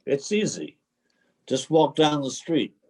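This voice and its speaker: A man's voice: on the high side, sing-song, slow pace, darkish tone, heavily acted. A man acting a wistful, folksy homeless drifter. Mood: rueful. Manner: folksy, dramatic storytelling. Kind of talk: staged play reading. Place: remote video call reading.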